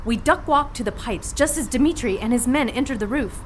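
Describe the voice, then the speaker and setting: A woman's voice: on the high side, a little fast, a little hiss or hum, lightly acted. A woman playing a plucky, sassy 1940s heroine who narrates her adventure in an audio drama with brash, wry slang. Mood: sassy, wary.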